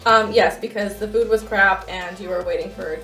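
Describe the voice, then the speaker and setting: A woman's voice: medium pitch, fairly even intonation, normal speed, sharp tone, faint music behind, rough recording. A woman co-hosting a podcast in casual chat, reacting and chiming in. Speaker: podcast co-host.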